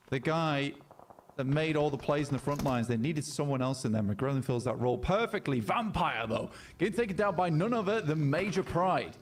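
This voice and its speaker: A man's voice: a little high, slight background noise. A man giving analytical color commentary on a live esports match, explaining team form and map positions.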